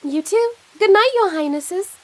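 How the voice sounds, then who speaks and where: A woman playing a polite, earnest young girl in an audio play, speaking gently and sincerely. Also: extremely high pitch, expressive, a little slow. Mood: curious, gentle.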